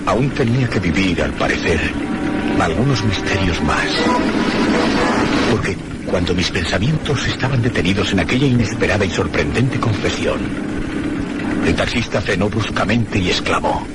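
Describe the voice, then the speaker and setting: An actor playing a haunted, solemn gentleman who narrates a horror radio play in a deliberate, theatrical way. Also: low voice, lively intonation, poor audio. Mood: awed, suspenseful. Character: haunted, solemn one-armed gentleman recounting his mystery. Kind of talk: dramatic first-person radio horror narration. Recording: radio play over clear background music.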